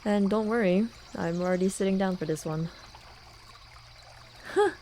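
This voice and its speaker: A woman's voice: mid-range voice, moderate pace, dark tone, slight background noise, natural voice. A young woman acting a playful, teasing student friend in a casual, intimate ASMR roleplay. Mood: mock disappointed, teasing. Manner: casual, teasing, with sighs and chuckles. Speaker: voice actor. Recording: running and trickling water behind voice. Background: hot spring water ambience.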